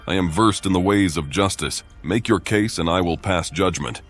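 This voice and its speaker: A man's voice: deep voice, normal speed, a bit bright. An actor playing a firm, composed male paladin in a fantasy game, speaking formally and directly. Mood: stern, judicial. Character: firm, authoritative male paladin judging fairly. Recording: music behind the voice.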